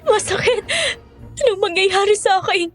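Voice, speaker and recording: Scared young woman turning monster, super high pitched, pleading in pain, horror drama. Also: normal speed, soft background music. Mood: frightened. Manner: pained, pleading, fearful. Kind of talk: Tagalog horror audio drama acting.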